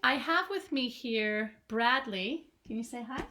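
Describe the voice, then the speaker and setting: A woman's voice: on the high side, lively intonation, a little slow, over loud music. A woman who teaches children, reading a picture book aloud expressively and chatting to young listeners. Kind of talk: children's storytime reading and chat.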